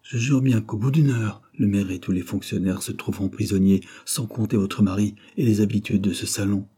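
A man's voice: on the low side, fairly even intonation, a little fast, warm tone, slightly rough. A man voicing a brusque, impatient militia commander in a storybook, blustering with exasperation. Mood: insistent.

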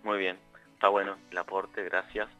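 A man with an Argentine accent giving a radio column over the phone, talking haltingly with many restarts. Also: deep voice, little pitch movement, pushed and bright, lo-fi sound, faint music behind. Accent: Argentine Spanish. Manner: hesitant, many restarts and fillers. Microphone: phone line.

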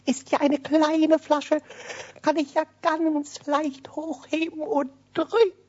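High-pitched whiny little kobold. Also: female voice, level tone, darkish tone. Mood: mischievous, curious. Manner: wailing, drawn-out, overdramatic. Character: tiny whiny impish male kobold, stubborn and self-pitying. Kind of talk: German radio play acting for children. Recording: dull lo-fi old radio recording.

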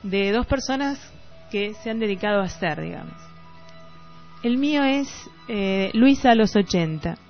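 A woman radio host, reading literary prose on air. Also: mid-range voice. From Argentina. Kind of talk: reading a short story aloud on a literary radio show. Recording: dull lo-fi radio recording.

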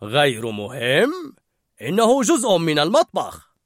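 A woman voicing a kindly older male chef who gently teaches and encourages. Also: lowish voice, big pitch swings, normal speed, dry recording, studio quality, pitched above the performer's own voice. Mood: correcting. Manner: warm approving teacher.

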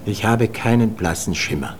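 An actor playing a calm, probing man in a naturalistic radio drama, in an intimate, strained talk. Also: lowish voice, moderate pace, slight background noise, natural voice. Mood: probing, reproachful.

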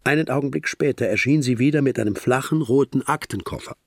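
An actor as the narrator of a detective radio drama, telling the story in a measured, literary manner. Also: male voice, mid-range voice, dry recording, studio quality, lightly acted. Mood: measured, suspenseful.

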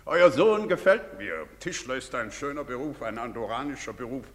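An actor playing a pompous, self-important, bigoted middle-aged village doctor, declaiming in a theatrical stage manner. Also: male voice, medium pitch, animated, moderate pace, natural voice. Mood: boastful. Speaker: stage actor in a broadcast drama. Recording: reverberant theatre stage recording.

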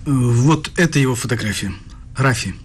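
A man's voice: mid-range voice, expressive, moderate pace, a little hiss or hum, natural voice. An actor playing a polite young male doctoral researcher in a radio play, a guarded interviewer who speaks courteously and a little hesitantly. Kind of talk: dramatic radio dialogue.

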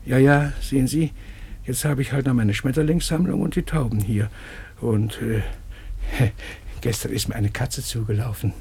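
Very husky, meek, fussy assistant. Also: male voice, mid-range voice, fairly even intonation, moderate pace, a little mellow. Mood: shyly eager. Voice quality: very husky, breathy. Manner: servile, muttering, repeats words, swoops. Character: meek, lonely, fussy male dissection-room assistant. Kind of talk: German radio play acting.

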